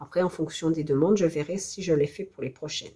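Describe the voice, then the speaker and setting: A French woman with a hoarse voice from the flu, presenting a scrapbooking tutorial in a hesitant, apologetic way. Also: deep voice, brisk, dark tone. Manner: hesitant, apologetic, self-deprecating. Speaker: scrapbooking video host.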